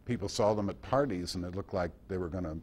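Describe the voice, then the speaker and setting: A man giving an informal talk and answering questions in a halting, reflective storytelling manner. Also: deep voice, very noisy. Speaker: guest speaker.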